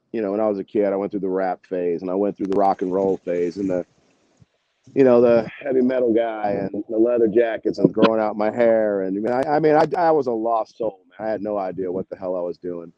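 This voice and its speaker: A man's voice: lowish voice, brisk, lo-fi sound. A man talking casually as an interview guest, a singer telling stories in a rambling, slangy, salty way. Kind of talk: casual interview chat, anecdotes.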